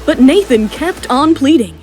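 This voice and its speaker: A woman's voice: high voice, lively intonation, a little slow, a little mellow. A woman reading dramatic scripted narration as the narrator of an audio drama. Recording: music behind the voice.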